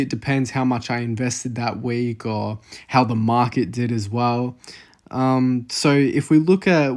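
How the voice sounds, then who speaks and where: A man's voice: medium pitch, a little mellow. A twenty-year-old Australian man casually walking through his investment numbers, thinking aloud with frequent fillers. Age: 20s. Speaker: investing vlogger. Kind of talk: casual screen-share walkthrough.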